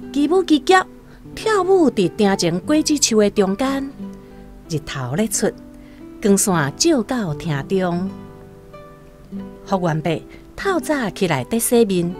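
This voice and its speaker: A woman with a Taiwanese accent narrating a literary radio drama in an expressive, dramatic storytelling manner. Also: mid-range voice.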